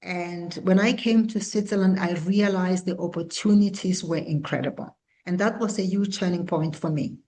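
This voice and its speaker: A woman in her sixties from South Africa, a founder answering interview questions in an earnest, measured way. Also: on the low side, dark tone, slight background noise, poor audio. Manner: earnest, measured, with small restarts. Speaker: guest, founder and CEO.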